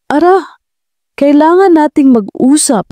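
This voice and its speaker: A gentle, bewildered man in a romance audio drama, played by a woman. He speaks earnestly in a mellow tone, recorded dry and close. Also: high voice, expressive, measured pace, dry recording, voice pushed up. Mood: confused, gentle. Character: confused, gentle male CEO with lost memory.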